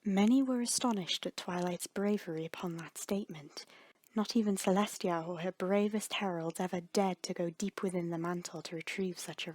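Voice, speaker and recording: A woman's voice: medium pitch, a little mellow, no echo. A woman reading fan fiction aloud as a scripted, dramatic story narrator. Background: background music.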